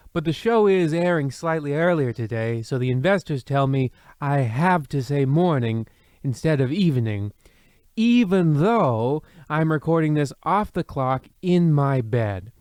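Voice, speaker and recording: A man's voice: on the high side, lively intonation, measured pace, clean tone, no echo. A man playing a pompous, rambling, self-admiring host of an old-time radio mystery play, with theatrical delivery. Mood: self-satisfied, whimsical.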